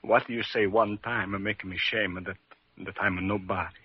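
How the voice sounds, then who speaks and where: An actor playing a proud, humble Italian immigrant father in an old radio drama, with an Italian accent and halting broken English. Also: on the low side, steady tone, breathy edge. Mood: emotional, subdued. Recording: muffled vintage radio recording.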